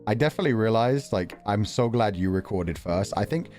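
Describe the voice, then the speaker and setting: A man's voice: on the low side, fairly quick, faint music behind. A man chatting casually on a stream, laughing often and brushing off praise. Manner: laughs often, self-deprecating, lively.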